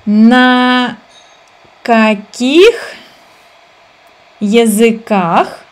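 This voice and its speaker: Woman, 30s, language teacher, very slow pace, slightly high voice, repeats phrases syllable by syllable, webcam at home. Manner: repeats words slowly, syllable by syllable. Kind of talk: slow reading drill for learners.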